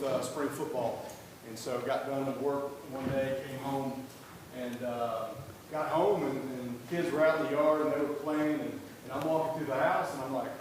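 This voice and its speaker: Loud-voiced man, echoey far-off room. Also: mid-range voice. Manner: halting, many fillers and restarts. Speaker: husband telling his story at a meeting. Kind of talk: personal account at a public meeting. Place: county board meeting room. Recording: echoey room, mic at a distance, noisy.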